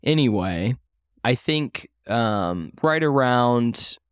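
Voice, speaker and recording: A man's voice: mid-range voice, expressive, drawn-out words, clean tone, dry recording, studio quality. A man in his late twenties co-hosting a casual chat, talking in a rambling, self-deprecating way with many fillers and restarts. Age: 20s. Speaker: podcast co-host.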